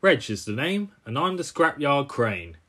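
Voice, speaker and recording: A man performs a jovial cartoon crane from the scrapyard. He is chummy and matey, talking at an easy, unhurried pace. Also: on the high side, big pitch swings, a bit bright, heavily acted.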